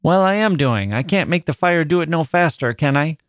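A man's voice: medium pitch, lively intonation, unhurried, a little mellow, dry recording, clean studio sound, voice pushed up, close to an everyday voice. A man voicing a dreamy, eager little girl in an audiobook, with a rapturous childlike manner and dialect turns of phrase. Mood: protesting. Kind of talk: literary audiobook character voice.

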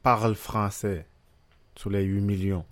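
A lower-voiced man with a Québécois French accent trades opinions in a loose podcast chat. His voice sits close and dry, with no room around it. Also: steady tone, moderate pace, dry recording. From Quebec. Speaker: podcast co-host. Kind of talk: casual chat.

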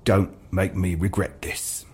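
A man voicing a grumpy, reluctant shopkeeper and seasoned adventurer in a story, curt, gruff and suspicious. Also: low voice, level tone, unhurried, a little mellow, pitched below the performer's own voice, heavily acted.

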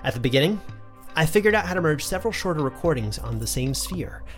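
An actor playing a chatty, thoughtful teacher recording an in-character audio journal for a fantasy drama. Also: male voice, a little high, lively intonation, quick pace, faint music behind, close to an everyday voice. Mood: chatty, reflective. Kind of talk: conversational in-character monologue and credits.

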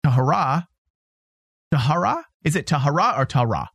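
A man's voice: fairly high pitch, very animated, normal speed, creaky, fairly bright tone, slightly rough, dry recording, clean studio sound. A man co-hosting a casual podcast, chatting animatedly and teasing his co-host, laughing easily.

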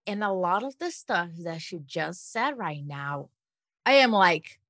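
A woman's voice: on the low side, big pitch swings, measured pace, a bit bright, no echo, studio quality. A woman on a podcast panel, chatting casually about someone she knows. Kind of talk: casual animated chat.

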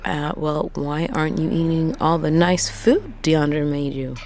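A low-pitched worn-out woman trucker in an audio drama. She is a worried mother, frustrated and halting, and talks in a natural, exasperated way. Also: a little mellow. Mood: exasperated affection.

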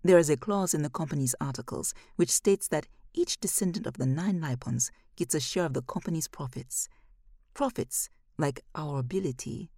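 Low-voiced woman narrating literary fiction. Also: creaky, mellow, no echo, studio quality. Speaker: audiobook narrator.

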